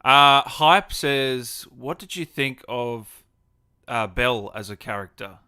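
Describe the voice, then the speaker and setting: A man's voice: medium pitch, slow pace, sharp tone, clear, resonant tone. A man hosting a casual livestream interview, informal and reactive as he puts questions to his guest. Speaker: interview host, gaming streamer.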